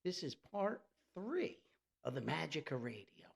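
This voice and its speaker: A man's voice: on the high side, very animated, unhurried, gravelly. An American man from New Jersey hosting a solo podcast in a chatty, theatrical, folksy way, with exclamations and words repeated for emphasis. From New Jersey, United States. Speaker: podcast host. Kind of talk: chatty solo podcast monologue with sponsor reads.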